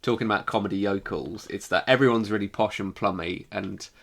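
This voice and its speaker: A man with a fairly low, bright voice chats as a podcast co-host. His delivery is casual and informal, like reviewing something with a friend. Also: brisk, gravelly. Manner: informal, mildly sweary.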